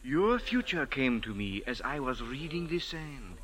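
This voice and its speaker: A man's voice: medium pitch, very animated, measured pace, fairly bright tone. An actor playing a humble, pleading male sand diviner in a 1940s radio drama. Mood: mystical.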